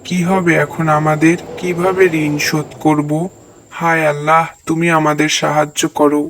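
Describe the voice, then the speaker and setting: A man's voice: a little high, little pitch movement, moderate pace, fairly bright tone, lightly acted. A man voicing a poor, devout village farmer and father in a moral cartoon, earnest and plaintive. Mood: worried, pleading. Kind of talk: cartoon voice acting, moral tale.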